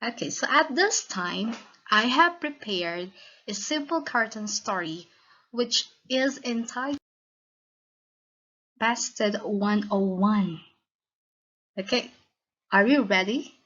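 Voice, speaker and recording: A woman with a Filipino accent narrating a scripted lesson as a teacher, in a deliberate, instructional manner. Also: fairly high pitch, expressive, bright, forceful tone. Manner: deliberate, instructional, checks with listeners. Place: recorded voice-over for slide lesson. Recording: dull, lo-fi voice recording.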